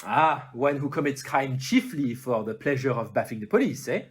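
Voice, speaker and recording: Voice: mid-range voice, lively intonation, moderate pace, close to an everyday voice. An actor playing a courteous, self-assured detective in an audio play, with a put-on French accent and a formal, theatrical manner. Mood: thoughtful.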